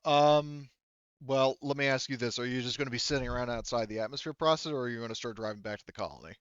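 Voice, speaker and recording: A man's voice: medium pitch, fast, a bit bright, smooth, no echo, muffled recording. A man narrating a tabletop game as game master, explaining casually and conversationally with lots of "you know" and "like". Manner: hedging, conversational, heavy use of "you know" and "like".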